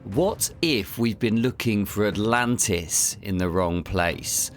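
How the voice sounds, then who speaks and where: A man's voice: lowish voice, slow pace, slight background noise. A man hosting a podcast, moving between scripted narration and interview talk as an adventure novelist.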